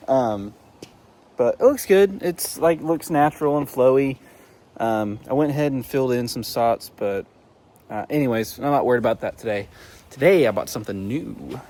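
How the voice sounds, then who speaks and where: A man's voice: medium pitch, moderate pace, darkish tone. A man casually showing his car setup in a vlog, talking loosely with many fillers and half-finished thoughts, recorded on a camera inside his car. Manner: rambling and loose, heavy on fillers and trailing thoughts. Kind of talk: casual show-and-tell vlog. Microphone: camera mounted in the car.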